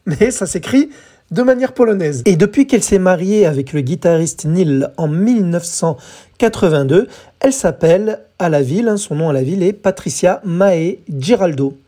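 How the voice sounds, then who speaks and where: A woman's voice: on the low side, lively intonation, normal speed, mellow. A woman hosting a podcast in a conversational explainer style, chatty, correcting herself and addressing listeners directly. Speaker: podcast host presenting songs.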